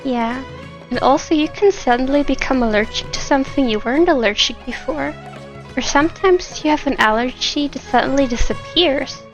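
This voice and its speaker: A woman with a high voice chats casually as she livestreams a game. She is giggly, and the sound is slightly dull, with faint music behind her. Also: normal speed. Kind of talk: casual chat with viewers during gameplay.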